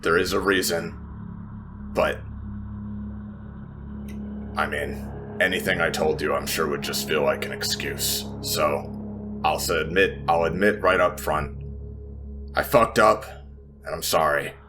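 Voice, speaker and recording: A man's voice: a bass voice, slow pace, pauses often, over music. A man playing a tabletop roleplaying game, talking casually and improvising his character's lines. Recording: clear background noise, ambience.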